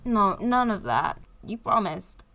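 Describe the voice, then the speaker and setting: A woman acting a gravely ill young woman in an audio drama, weak and croaky yet sarcastic and stubborn. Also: mid-range voice, very animated, slow pace, pushed and bright, exaggerated voice. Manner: sarcastic, teasing.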